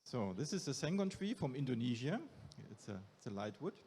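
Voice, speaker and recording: A man's voice: medium pitch, very animated, a little fast, darkish tone, husky. Man presenting on a conference stage. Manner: upbeat, coaxing audience volunteers.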